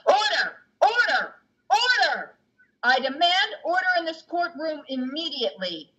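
A woman's voice: sits high, sing-song, measured pace, a bit bright, voice pushed up, heavily acted. A woman playing a stern, commanding judge in a stage play reading, giving imperious, emphatic orders. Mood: commanding, angry. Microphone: video call. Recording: dull video-call audio.

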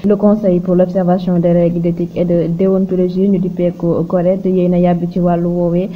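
A woman with a Senegalese accent presenting news narration, with a halting delivery and frequent hesitant pauses. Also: lowish voice, little pitch movement. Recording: dull lo-fi sound with clear noise.

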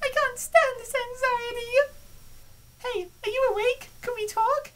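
A woman's voice: extremely high pitch, steady tone, unhurried. A woman voicing an anxious, guilt-ridden, weepy young comic heroine in a dub. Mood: anxious, apologetic. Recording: clearly noisy recording.

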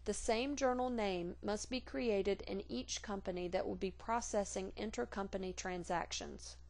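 Woman doing software training voiceover, formal and measured, medium pitch, reading off a script. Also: gravelly. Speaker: software tutorial narrator. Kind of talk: scripted instructional narration.

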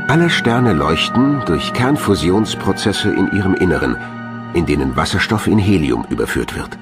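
A man's voice: deep voice, very animated, moderate pace, over music. A man reading scripted science documentary narration as a studio voice-over.

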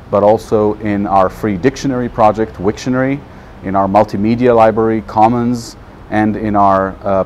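A man in his thirties, a native Hebrew speaker, giving an informal talk to camera outdoors in a measured, thoughtful way. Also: fairly low pitch, darkish tone, a little hiss or hum. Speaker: non-profit program manager.

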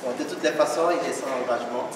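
A man's voice: mid-range voice, fast talker. A man hosting a slam night, teasing and hyping the audience. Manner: playful, teasing, hyping the crowd. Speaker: event host, emcee. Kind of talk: stage emceeing. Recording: echoey hall from far off, loud crowd noise.

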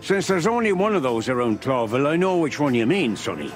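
An actor playing a folksy, sly old beaver shopkeeper in a film noir game, with a teasing manner. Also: male voice, mid-range voice, sing-song, brisk, close to an everyday voice. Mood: cagey, cautioning. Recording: music behind the voice.

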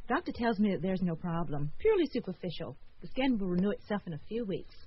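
An actress playing a breezy, soothing young adult daughter in a radio drama. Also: female voice, mid-range voice, big pitch swings, quick pace, breathy edge. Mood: curious, breezy. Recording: muffled with faint noise.

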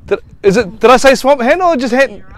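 A man's voice: extremely high pitch, lively intonation, fairly quick. A middle-aged man from Australia hosting a casual camping vlog by a river, chatty and upbeat, laughing off his own slip-ups. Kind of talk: casual travel vlog with bloopers. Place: outdoors by a river in a bush reserve. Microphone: camera from a few metres away.

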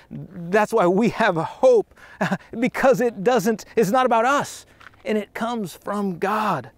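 A man's voice: sits high, dry recording. A man in his thirties preaching a sermon outdoors on a trail, earnest and conversational, with frequent restarts. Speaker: pastor.

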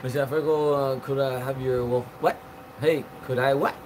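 A man's voice: mid-range voice, fairly even intonation, moderate pace, noisy background. A man in his forties hosting a casual live stream outdoors, rambling through viewer comments with lots of fillers and restarts. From Cuban. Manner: chatty, rambling, many fillers and restarts, greets viewers by name. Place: outdoor patio with palm trees.

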